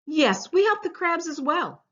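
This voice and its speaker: An earnest, brave turtle who looks out for his friends a woman reading him from a storybook. He speaks slowly and reassuringly, on a slightly dull recording. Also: very high voice, sing-song, fairly bright tone, voice pushed up, exaggerated voice. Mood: reassuring, kind. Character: brave, kind young male turtle, protective of friends.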